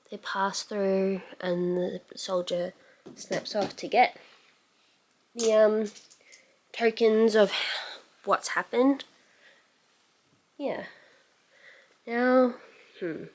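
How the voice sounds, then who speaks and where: Slow-paced woman chatting through a makeup tutorial. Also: mid-range voice, a little mellow. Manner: musing aloud while working, sentences trailing off. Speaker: beauty vlogger giving a makeup tutorial. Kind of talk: casual makeup tutorial chat.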